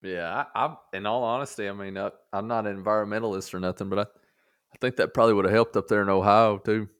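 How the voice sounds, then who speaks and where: A man's voice: fairly low pitch, fairly even intonation, quick pace, smooth. A man co-hosting a podcast, chatting casually in a folksy, conversational way. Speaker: podcast co-host. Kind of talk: casual chat.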